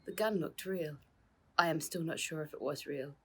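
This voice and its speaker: A woman's voice: sits low, normal speed, a bit bright, breathy edge. A woman reading the parts of plucky, earnest children in a storybook adventure, speaking with urgency. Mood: shaken, breathless.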